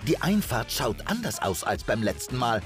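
A man's voice: medium pitch, very animated, normal speed. A man narrating a reality documentary in a playful, sing-song way. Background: music.

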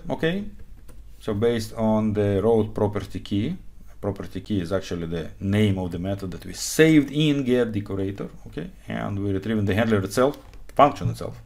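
A man in his forties with a fairly low voice speaks English as a second language. He walks through code at his desk at home, checking in with "okay?" as he goes. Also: expressive, normal speed. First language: not English; speaks Hebrew, Russian, Ukrainian. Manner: explains step by step, frequent "okay?" checks. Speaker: conference speaker. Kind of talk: live coding talk.

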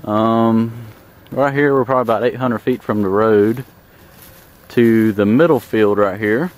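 A man giving a relaxed, plain-spoken tour of his land, walking and then driving. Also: lowish voice, unhurried. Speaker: landowner showing his farm. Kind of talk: casual walk-and-drive tour narration. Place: outdoors in fields, then inside a moving pickup truck. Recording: clear background noise of truck engine and outdoors.

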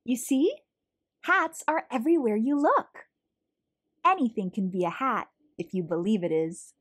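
Female read-aloud host for young kids, highish lively voice with swooping intonation, storytelling delivery. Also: a little slow. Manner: animated, clearly paced storytelling for young children. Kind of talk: expressive picture book read-aloud.

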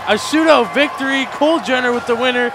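A man with a fairly high voice adds colour commentary to a hockey shootout, explaining moves and gushing over goals. A lively arena crowd and steady noise fill the background. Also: fast. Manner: enthusiastic analysis between plays.

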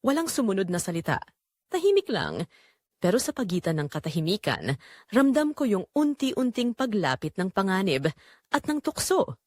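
Woman playing guilty middle-aged mother, confessional romantic drama, emotional storytelling, animated intonation, dry close sound. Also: medium pitch, moderate pace, dry recording. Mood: guilty longing. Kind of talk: scripted first-person confessional narration, romantic audio drama.

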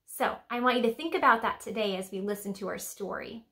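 A woman's voice: medium pitch, fairly quick, a bit bright. A young woman hosting a children's church lesson to camera, chatting simply and putting questions to the children. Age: young adult. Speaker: children's church teacher and host. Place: small decorated room indoors.

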